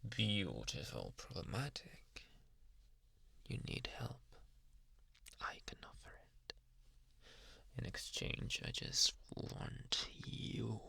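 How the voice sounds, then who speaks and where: A man playing a possessive, softly menacing psychiatrist in an ASMR roleplay, coaxing in a hushed, breathy, deliberate voice. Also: big pitch swings, exaggerated voice. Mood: persuasive, possessive. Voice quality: breathy, gravelly low voice.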